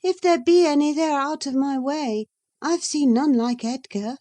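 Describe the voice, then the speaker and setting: A woman's voice: high voice, normal speed, warm tone, voice pushed up, natural voice. A woman reading an audiobook, voicing a passionate, willful young woman who speaks with emphatic feeling. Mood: insistent. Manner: impassioned, emphatic.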